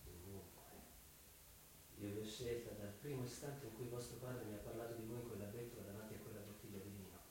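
A man's voice: lowish voice, fairly even intonation, quick pace, noisy background, natural voice. Man acting tormented young man in cavernous echo. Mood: earnest pleading. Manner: impassioned, insistent, theatrical. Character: intense tormented young man pleading with a woman. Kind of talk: Italian radio drama acting. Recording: reverberant, distant mic, music bed.

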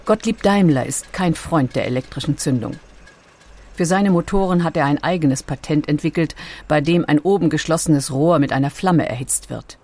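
A woman reading a scripted documentary narration with measured, dramatically phrased storytelling. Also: deep voice, a little mellow. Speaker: audiobook narrator.

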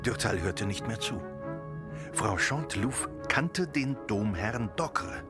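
An actor playing the narrator of a radio play, reading literary prose in a measured way. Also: male voice, fairly low pitch, expressive. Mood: measured, dreamy. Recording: music with sitar behind.

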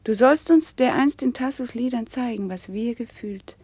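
An actress playing a gentle, wise princess in a classical verse radio drama, with soft, measured, breathy declamation. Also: female voice, a little high. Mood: gracious. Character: gentle noble princess, wise, soothing, reassuring.

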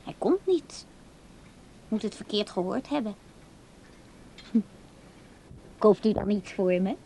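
An actress playing a naive, blunt, skittish kitchen worker in a radio play, blurting things out artlessly and defensively. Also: female voice, medium pitch, animated, takes it slowly, gravelly, warm tone, a little hiss or hum.

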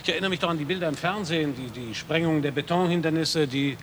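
An actor playing an earnest American radio reporter, polite and a little hesitant, stammering now and then. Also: male voice, on the high side, fast, a bit bright, slight background noise, close to an everyday voice. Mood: puzzled. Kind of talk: 1980s radio play acting.

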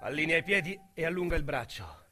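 An actor with a Roman accent playing a young, boastful, foul-mouthed street criminal in a radio drama monologue, with coarse slang and a swaggering delivery. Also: male voice, a little high, very animated, quick pace, over music. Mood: intense. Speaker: narrator and lead character.

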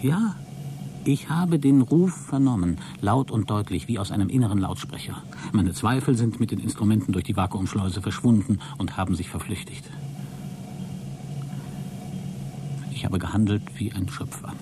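An actor playing a deluded, exhausted astronaut who keeps a logbook in a radio play, halting, self-justifying and grandiose. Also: male voice, lowish voice, background noise, lightly acted. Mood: agitated, fanatical. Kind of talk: dramatic radio play monologue.

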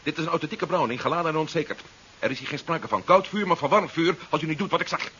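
A man's voice: on the high side, fast, bright, forceful tone, breathy edge, full character voice. An actor playing a determined male agent who bluffs and threatens, in 1970s radio drama style. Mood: menacing, commanding. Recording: dull, hissy 1970s radio recording.

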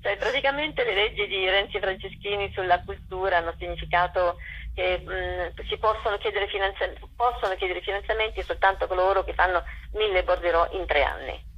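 A woman's voice: mid-range voice, fairly quick, highs cut off, slight background noise. A woman speaking spontaneously as an interview guest, searching for her words. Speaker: theatre director, interview guest. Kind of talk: spontaneous radio interview talk.

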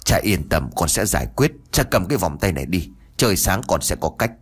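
A sharp-tongued young mistress snaps orders and whines, as a man plays her in a spoken horror tale. The husky voice leaps around in pitch. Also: sing-song, a little fast, exaggerated voice. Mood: cold, bossy. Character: sharp-tongued, cruel young daughter of the house.

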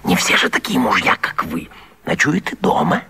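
Low, hissing, bossy young lover. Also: male voice, wildly swooping pitch, normal speed, pushed and bright, heavily acted. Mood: exasperated hissing. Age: young adult. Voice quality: hoarse hissing half-whisper. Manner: curt, commanding, sarcastic. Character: irritable, bossy young lover hiding under a bed. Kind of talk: theatrical radio vaudeville acting.

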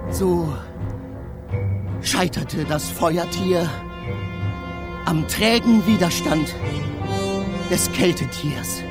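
An actor playing a traveler who narrates a fantastical battle of beasts in a measured, theatrical radio drama manner. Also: male voice, high voice, heavily acted. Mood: grave. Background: music.

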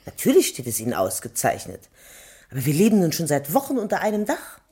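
A smoky-voiced teasing gangster's moll. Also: female voice, low voice, wildly swooping pitch, quick pace, mellow, husky, exaggerated voice. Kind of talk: old radio drama acting.

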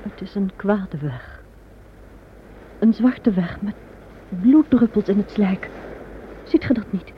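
A woman's voice: medium pitch, slow pace, pauses often, natural voice. An actress in a radio play playing a young, fearful, visionary queen in a theatrical, dread-filled manner. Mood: frightened. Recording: noisy, dull old radio recording.

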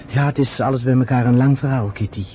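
An actor in a radio play voicing an earnest, patient storyteller, with measured, rhetorical phrasing. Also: male voice, mid-range voice, steady tone, dark tone, lightly acted. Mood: solemn, impassioned. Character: earnest, patient male storyteller explaining his people's history. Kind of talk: radio drama acting. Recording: slightly noisy old radio recording.

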